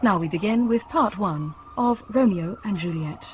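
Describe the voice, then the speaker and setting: A woman presenting a radio play with a scripted introduction, measured and articulate. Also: medium pitch, expressive. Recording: dull, noisy radio recording.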